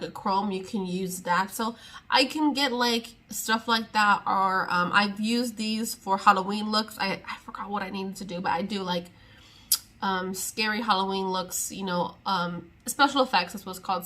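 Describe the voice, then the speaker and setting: A young woman talks straight to the camera in a loose, rambling way, often trailing off and restarting. She sounds chatty and easily exasperated, with a mid-range voice of ordinary pitch. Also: normal speed, pushed and bright. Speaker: beauty vlogger. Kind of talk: casual sit-down chat to camera.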